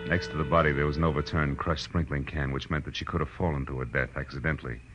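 Very deep, wry hard-boiled private eye. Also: male voice, steady tone, fast. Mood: brooding, wry narration. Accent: American. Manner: wry, clipped, sardonic. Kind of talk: 1940s radio noir acting, first-person narration and dialogue. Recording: dull vintage radio recording with music.

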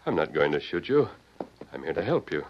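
An actor playing a steady, kindly masked western hero in an old radio drama, firm, reassuring and commanding. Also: male voice, a bass voice, brisk. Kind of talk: old-time radio acting. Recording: muffled, faintly noisy old radio recording.

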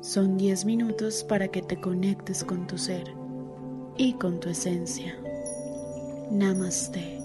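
A woman leads a guided meditation. She speaks slowly at a medium pitch, with music clearly audible behind her. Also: steady tone.

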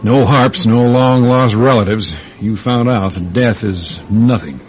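An actor playing an indignant, conscience-stricken doctor in a classic radio drama, stern, weary and emphatic. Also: male voice, fairly low pitch, measured pace, close to an everyday voice. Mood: grim. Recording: noisy, muffled old radio broadcast.

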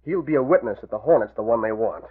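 A cold gangland boss in a vintage radio thriller. He has a husky mid-pitched voice and schemes with authority. The sound is narrow and muffled, an old broadcast recording. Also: lively intonation, fast. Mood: calculating. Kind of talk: 1940s radio drama acting.

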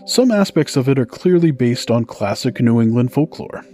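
A man's voice: mid-range voice, lively intonation, normal speed, warm tone. A man hosting a podcast, narrating folktales from a script in a storytelling style. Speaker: podcast host. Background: music.

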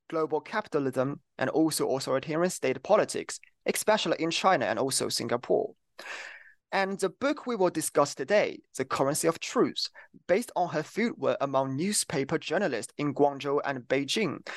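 A man's voice: fairly high pitch, no echo. A young man hosting an academic podcast interview, speaking earnestly with a few restarts. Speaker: podcast host, PhD student. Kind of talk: interview introduction.

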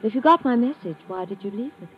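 Actress as cool, knowing radio femme fatale, muffled. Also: female voice, fairly high pitch, expressive, fast, close to an everyday voice. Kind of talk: old-time radio drama acting. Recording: dull, noisy old radio recording.

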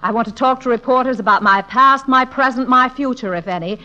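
1940s radio comedy heroine: bold lady writer in love, bright, theatrical, teasing. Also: fairly high pitch, brisk. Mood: brazen, coy. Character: bold, playful woman novelist in love. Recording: dull old radio recording.

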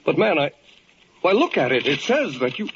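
An actor playing a flustered, weary, compassionate hospital chief in old-time radio drama, with a halting, emotional delivery. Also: male voice, on the high side, big pitch swings, a bit bright. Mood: flustered, shaken. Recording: dull, hissy old radio recording.

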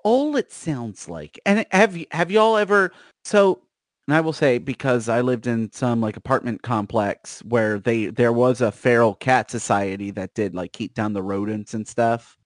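Male American comedy co-host, medium pitch, loose rambling riffs, casual and crude, dry studio-close sound. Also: moderate pace, dry recording. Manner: rambling, repeats phrase openings, profane riffing. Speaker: podcast co-host. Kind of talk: casual comic chat.

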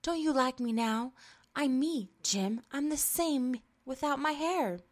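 A woman voicing an anxious young wife who talks in a high, eager, pleading way. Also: takes it slowly.

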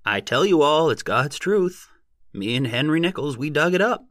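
A man voicing an excited, boastful rustic odd-job man in an audiobook, with a squealing, breaking voice. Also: high voice, moderate pace, dry recording, clean studio sound, pitched above the performer's own voice. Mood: frantic wonder. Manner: folksy, exclaiming. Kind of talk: audiobook character voice.

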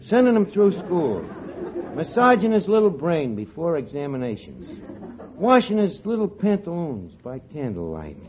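An actor playing a scheming, wisecracking tavern manager who garbles big words and speaks rough, streetwise grammar, in a 1940s radio comedy. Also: male voice, a little high, wildly swooping pitch, moderate pace, some chatter behind, a little hiss or hum. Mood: smooth-talking. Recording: old lo-fi radio transcription, audience laughter.

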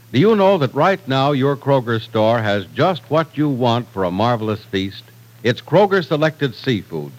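Animated American radio announcer, male. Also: medium pitch, low-quality recording. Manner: polished, salesmanlike. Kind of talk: mid-century radio announcing and ad read.

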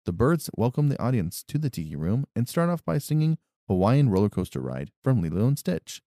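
A man's voice: mid-range voice, big pitch swings, a little fast, mellow, no room sound, studio quality. A man co-hosting a podcast, reading a history segment from notes with small stumbles, then chatting casually. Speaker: podcast co-host.

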